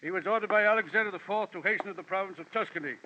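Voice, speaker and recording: An actor playing a pompous, portly, suavely menacing gem crook in an old-time radio drama, speaking in florid, formal, wordy phrases. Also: male voice, high voice, very fast, dull audio, a little hiss or hum, lightly acted. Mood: pompous, relishing.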